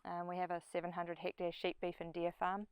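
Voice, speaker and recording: A middle-aged woman with a New Zealand accent shares an informal personal talk. Her voice sits a little low and is strikingly smooth and crisp. The sound is dry, with very little room in it. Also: monotone, fairly quick, no echo. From New Zealand and Papua New Guinea. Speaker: speaker at a community event, farm sustainability coach.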